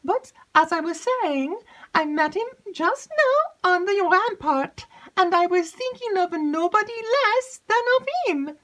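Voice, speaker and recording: A giddy young servant girl, put on by a woman reader, spills her news in a high, squealing rush. Her voice leaps and swoops with every exclamation, and she can barely keep still for breath. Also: animated, unhurried, fairly bright tone, exaggerated voice. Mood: excited. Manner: breathless, gushing, high-pitched. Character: excitable chattering young female servant.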